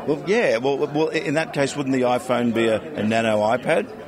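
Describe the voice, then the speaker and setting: A man's voice: mid-range voice, steady tone, moderate pace. Australian male interviewer in a noisy crowd. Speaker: podcast interviewer. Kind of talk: casual interview chat. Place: busy convention venue. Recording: crowd chatter behind the voice. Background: crowd chatter.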